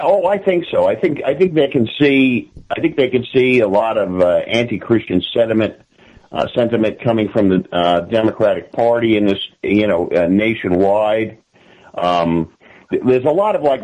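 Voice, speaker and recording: Low-voiced American man, halting radio-style delivery. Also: highs cut off. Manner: halting, repeats and restarts phrases. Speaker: podcaster, interview guest. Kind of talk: conversational political interview.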